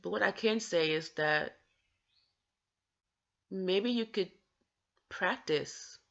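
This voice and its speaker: Gravelly, slow-talking woman vlogger. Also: mid-range voice, fairly bright tone, dull audio. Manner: earnest, encouraging, with occasional stammered restarts. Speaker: vlogger who stutters. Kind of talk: personal talk to camera.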